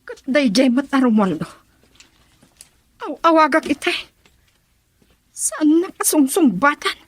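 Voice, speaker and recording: Suspicious mother, middle-aged, radio drama; high voice with lively, swinging intonation. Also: slow pace, full character voice. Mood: puzzled. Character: suspicious, questioning mother and wife. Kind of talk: Ilocano radio drama acting.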